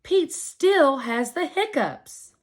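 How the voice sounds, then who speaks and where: A woman, a preschool teacher, reading a picture book aloud to young children in a slow, expressive storytelling manner. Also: sits high.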